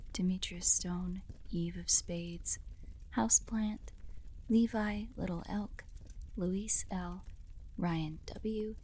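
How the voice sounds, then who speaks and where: A woman's voice: medium pitch, mellow, breathy edge. A woman who creates audio roleplays, chatting casually to thank her listeners.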